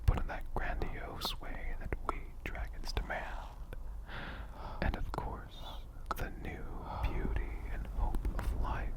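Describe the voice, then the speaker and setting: A man in a dragon persona, whispering softly and rambling fondly as he answers viewer questions for an ASMR video. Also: medium pitch. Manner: soft-spoken, rambling, fond.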